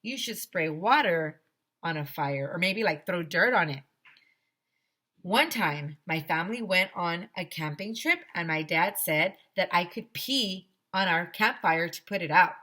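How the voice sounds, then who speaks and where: A woman with a fairly low voice reads a children's chapter book aloud. She is middle-aged and stresses words theatrically, telling it as a schoolkid would. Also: unhurried. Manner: expressive, theatrical emphasis. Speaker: teacher reading aloud to children. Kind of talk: animated children's story read-aloud in a schoolboy's first person. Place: small decorated room indoors. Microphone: headset microphone.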